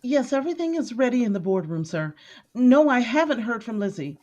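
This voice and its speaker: A woman's voice: on the high side, very animated, brisk, warm tone, dull audio. An actress playing an earnest, emotional female office worker in an audio drama. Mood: polite, worried.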